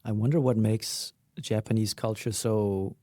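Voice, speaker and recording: A man's voice: lowish voice, fairly even intonation, a little slow, warm tone, dry recording, clean studio sound. A man in a casual podcast discussion, speaking in a musing, tentative way. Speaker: podcast panelist.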